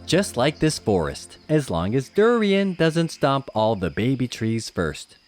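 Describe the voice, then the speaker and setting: A man playing a patient father who gently teases, reassures and explains things in an animated children's storytime. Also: mid-range voice, measured pace, soft background music. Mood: gently teasing.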